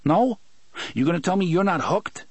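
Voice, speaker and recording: A man voicing a tearful young man who is angry and pleading, speaking in a quick, strained voice raised above the narrator's own. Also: high-pitched, expressive, slightly rough, dry recording, voice pushed up. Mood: bitter, pleading. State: crying.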